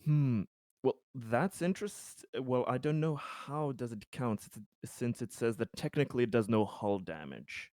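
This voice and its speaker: A man's voice: mid-range voice, lively intonation, moderate pace, a little mellow, no echo, clean studio sound. A man playing a tabletop game, casually explaining and questioning the rules. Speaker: player advising on rules.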